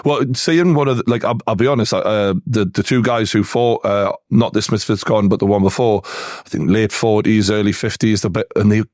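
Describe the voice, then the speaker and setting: Chatty Geordie host, lowish voice. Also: male voice, steady tone, normal speed, darkish tone, no room sound, clean studio sound. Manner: loose, filler-heavy questions. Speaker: podcast host. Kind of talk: casual interview chat.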